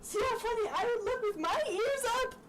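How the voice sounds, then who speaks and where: A woman's voice: extremely high pitch, normal speed, pitched above the performer's own voice. A woman voicing a clever, smug male dog from a storybook, handing out confident advice. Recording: distant mic with clear background noise.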